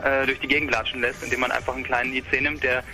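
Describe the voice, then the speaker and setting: A man's voice: mid-range voice, level tone, racing, bright, forceful tone, soft background music. A young man from Berlin talking casually about a hobby robot project, rambling with many fillers and restarts. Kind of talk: casual technical talk.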